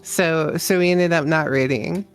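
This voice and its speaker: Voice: medium pitch, smooth, soft background music. A guest on a stream chatting casually, joking around and laughing often. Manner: slow, drawn-out, joking.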